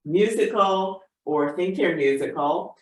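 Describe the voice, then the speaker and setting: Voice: medium pitch, slow, distant mic. The sound is heavily echoing and away from the microphone, as on a video call. The speaker makes an informal introduction of a guest as a member of the congregation.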